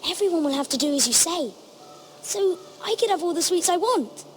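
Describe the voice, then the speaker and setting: A woman's voice: very high voice, animated, a little fast, a little hiss or hum. A woman voicing an innocent, eager little girl, the youngest sister in a fantasy game drama. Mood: curious, playful. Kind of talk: dramatic video game acting.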